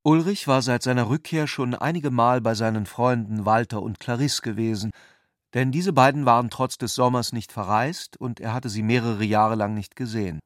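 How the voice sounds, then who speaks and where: A man's voice: mid-range voice, normal speed, no room sound. Man narrating literary prose, close and dry. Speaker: narrator of a radio literary adaptation.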